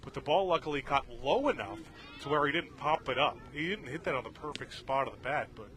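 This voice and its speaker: A man's voice: fairly high pitch, animated, brisk, a bit bright. A man giving conversational, explanatory color commentary on a live baseball broadcast from an outdoor ballpark. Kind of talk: live sports analysis, conversational. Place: outdoor ballpark, beside the field. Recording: outdoor ballpark crowd noise behind. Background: crowd noise.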